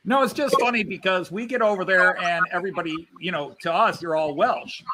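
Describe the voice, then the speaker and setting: A man's voice: high voice, brisk, noisy background. A man hosting a casual chat, telling rambling, chatty travel stories.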